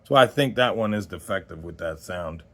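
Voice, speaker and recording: A man's voice: low-pitched, expressive, normal speed, a bit bright. A man in his forties giving a casual, unscripted gear review as host, in a rambling conversational way that trails off mid-thought. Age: 40s.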